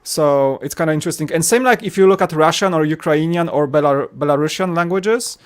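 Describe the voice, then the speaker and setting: A man's voice: fairly high pitch, brisk, smooth. A man from Poland with a Polish accent, a podcast co-host chatting casually and explaining at length with frequent restarts. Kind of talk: casual chat.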